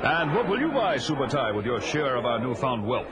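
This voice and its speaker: A man's voice: mid-range voice, very animated, fairly quick, over a noisy crowd, very noisy, close to an everyday voice. An actor playing a rugged barbarian hero in an audio drama, telling his adventure in grand, florid, dramatic style. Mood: teasing. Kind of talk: audio drama first-person narration.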